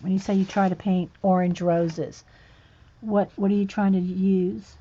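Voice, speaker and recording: A woman talks slowly and easily through a painting livestream, answering viewers' comments as she works at her table. She drifts into soft, half-muttered asides about her brush and colors. Her voice sits at an ordinary pitch, and the audio sounds dull and lo-fi. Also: flat delivery. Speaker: art livestream host. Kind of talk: casual livestream talk while painting. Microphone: overhead camera on hands and page.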